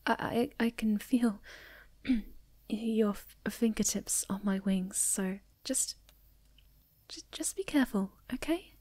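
Woman voicing a young moth girl, shy and sweet, highish voice, unhurried, near-whispered, hesitant, ASMR roleplay. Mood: nervous, delicate. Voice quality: soft, breathy, often near a whisper. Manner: hesitant, stammering, gentle.

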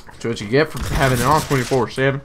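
A young man streaming a shooter game, chatting and trash-talking loudly with a friend. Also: mid-range voice, fast talker, very noisy. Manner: loud, excitable trash talk. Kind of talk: casual gaming banter.

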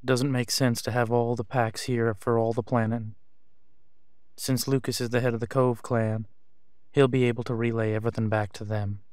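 Man acting a smooth, steady werewolf pack leader. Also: medium pitch, normal speed, no echo, clean studio sound, lightly acted. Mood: tender, teasing. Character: teasing, dominant male werewolf pack leader. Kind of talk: roleplay audio drama acting.

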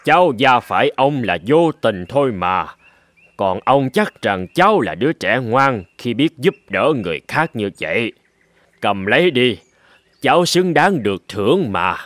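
Slow-talking kindly old goat. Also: male voice, mid-range voice, sing-song, dry recording. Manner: slow, gentle, reassuring. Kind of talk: children's cartoon dubbing.

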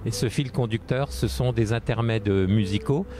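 A man's voice: lowish voice, moderate pace, background noise. A middle-aged man organizing a book festival, answering interview questions hesitantly with many fillers, speaking into a handheld mic in a tent. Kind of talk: interview at an event. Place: tent at a book fair, outdoors. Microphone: handheld mic held close.

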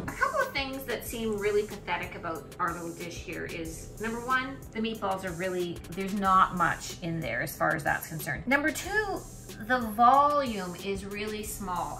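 A middle-aged woman chatting casually as she cooks in her home kitchen, thinking aloud while she works. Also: mid-range voice. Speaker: cooking vlogger. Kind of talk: casual cooking demonstration. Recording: echoey kitchen with background music. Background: music.

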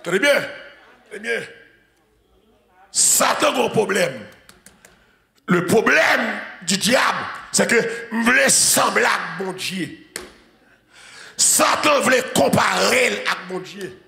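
Haitian man preaching, high gravelly voice, slow shouted lines, echoey hall with soft music. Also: lively intonation. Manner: shouting, chanting repetitive preaching. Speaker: pastor. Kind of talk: sermon. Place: large church sanctuary with congregation. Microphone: handheld mic.

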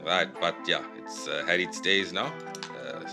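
A man's voice: deep voice, fairly even intonation, unhurried, gravelly. A man hosting a hobby video, talking in a casual, informal, unscripted, rambling way as he gives a hands-on review. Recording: loud electronic music over voice. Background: loud electronic music.

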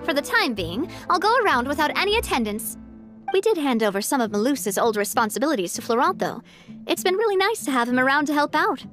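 An actress playing a warm, resolute young woman leader in a video game, wistful yet upbeat. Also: high-pitched, big pitch swings, fast talker, faint music behind. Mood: lighthearted. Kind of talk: video game character acting.

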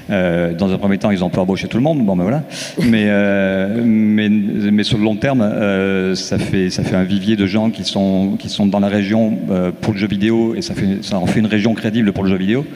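A man's voice: on the low side, darkish tone. A man speaking as a panelist on a conference stage, explaining fluently. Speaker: studio co-founder, panelist. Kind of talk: panel discussion. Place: conference stage in a large hall. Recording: reverberant hall.

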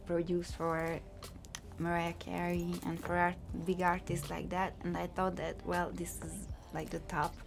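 A woman's voice: fairly low pitch, vocal fry. A young woman from Hungary with a Hungarian accent, answering interview questions casually in a recording studio, with frequent fillers and light laughs. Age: young adult. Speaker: music student. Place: recording studio control room. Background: background music.

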